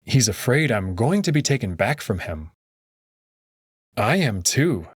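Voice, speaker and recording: A man narrates a story in a dramatic, scripted style. His pitch moves widely and his voice is slightly husky, recorded close and dry with soft music underneath. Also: big pitch swings, measured pace, no room sound. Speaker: narrator reading a visual novel aloud.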